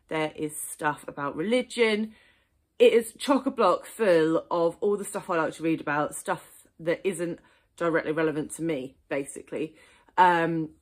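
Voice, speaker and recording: A woman in her thirties with a slightly low voice, talking casually to camera about the books she read. She is warm in her enthusiasm, peppering her chat with ums and little laughs. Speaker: book vlogger. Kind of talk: casual book review monologue.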